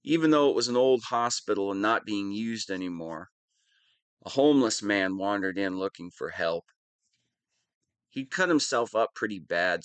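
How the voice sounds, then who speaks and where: A hapless wannabe super-villain, acted by a man in an audio roleplay, with a lowish voice that swoops up and down dramatically. He whines and pities himself, soft-hearted under the villain act. The sound is close and dry. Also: no room sound, natural voice. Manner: whiny, self-pitying, dramatic swings. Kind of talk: scripted one-sided audio roleplay monologue.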